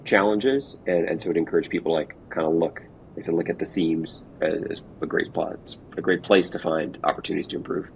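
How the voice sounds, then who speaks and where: Male executive interviewee, low register, conversational and informal, faint rumbling noise behind. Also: a little fast, gravelly. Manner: informal, hedging with "kind of" and "like". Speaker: revenue operations executive being interviewed. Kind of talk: casual conversational explaining. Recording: noisy with low background rumble.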